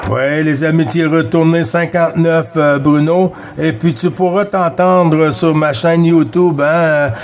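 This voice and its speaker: A man with a Quebec French accent and a fairly high voice works as a CB radio operator. He chats casually and amiably, often repeating his phrases and tagging them with little check-in words. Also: steady tone, unhurried, few pauses, a little hiss or hum. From Quebec, Canada. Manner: friendly, repeats himself, tags phrases with "hein". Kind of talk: casual two-way radio chat.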